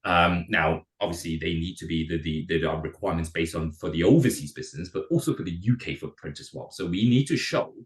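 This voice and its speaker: A hoarse man with a cold explains visa routes at a rapid clip. His voice sits very low, and he stumbles over repeated words. The room around him echoes. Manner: fast, with many repeated words and restarts. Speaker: immigration adviser. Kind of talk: webinar presentation.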